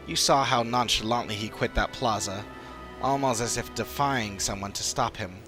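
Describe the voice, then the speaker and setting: A man voicing an earnest, analytical adventuring companion in a fantasy game, speaking in a measured, formal way. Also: medium pitch, close to an everyday voice. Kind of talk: dramatic reading of game dialogue.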